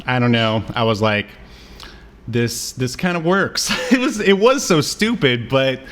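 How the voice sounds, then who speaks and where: A man's voice: mid-range voice, sing-song, a little slow, fairly bright tone. Casual, chuckling male podcast co-host. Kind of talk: casual chat about cartoons.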